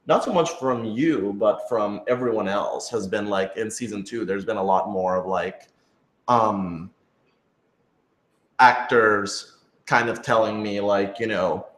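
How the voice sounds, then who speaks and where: A man's voice: on the low side, unhurried, fairly bright tone. A man chatting casually and unscripted as a writer-director answering questions, informal, hedging and self-deprecating. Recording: roomy, echoing room sound.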